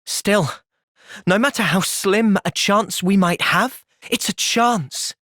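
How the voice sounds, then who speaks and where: A young man playing an earnest, thoughtful young soldier hero in a fantasy video game's cutscenes, with expressive delivery. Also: high-pitched, slow pace, no room sound, clean studio sound. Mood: resolute. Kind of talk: video game cutscene acting.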